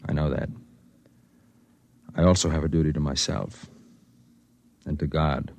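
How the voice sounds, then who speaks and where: An actor playing a brooding, principled man who speaks and narrates quietly and earnestly in a 1950s radio drama. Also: very deep voice, fairly even intonation, measured pace. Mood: guarded, earnest. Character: brooding, principled man, gentle narrator of his own story. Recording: vintage radio drama recording with music behind.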